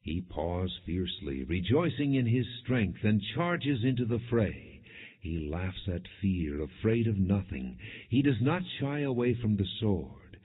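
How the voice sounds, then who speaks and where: A man reading scripture as an audiobook narrator in a solemn, measured, declamatory manner. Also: fairly low pitch, very animated, slightly rough. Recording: muffled, narrow phone-like sound.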